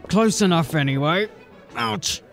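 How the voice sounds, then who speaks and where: Blunt, wry lawman of a fantasy city watch, slightly high-pitched, grumbling. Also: animated, slow pace, vocal fry, a bit bright, soft background music, full character voice. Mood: wry, playful. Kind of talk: fantasy audio drama acting.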